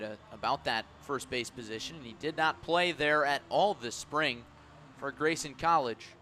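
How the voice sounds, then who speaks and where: Bright-voiced male baseball radio announcer. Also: mid-range voice, slight background noise. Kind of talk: radio sports commentary.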